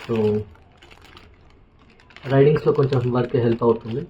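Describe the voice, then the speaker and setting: A man with a Telugu accent doing a casual, unscripted product walkthrough in a hesitant, halting way, mixing in English words. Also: mid-range voice, steady tone, highs cut off, background noise. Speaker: vlogger presenting a product unboxing.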